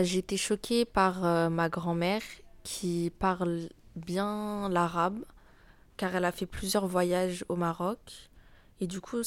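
A teenage girl from France with a French accent, answering interview questions casually and pausing often. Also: on the low side, no room sound. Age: teens. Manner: hesitant, many pauses with "euh".

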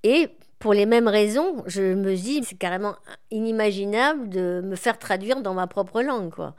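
A woman in her forties, a guest in an interview, speaking reflectively with many pauses and fillers. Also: mid-range voice. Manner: reflective, hesitant with frequent fillers. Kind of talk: conversational interview.

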